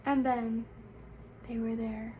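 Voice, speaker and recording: A woman's voice: a little high, little pitch movement, slow pace, mellow, slight background noise. A woman teacher reading a storybook aloud expressively, speaking directly to young listeners. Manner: addresses young listeners with questions.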